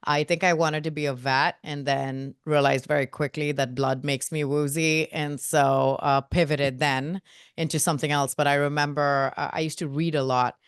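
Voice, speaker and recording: Guest from India, woman in her 30s: low, smooth, dry close sound; lively, self-mocking storyteller. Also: moderate pace, no room sound. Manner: lively, self-mocking, quotes people in voices. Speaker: guest, marketing agency co-founder. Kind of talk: casual storytelling interview.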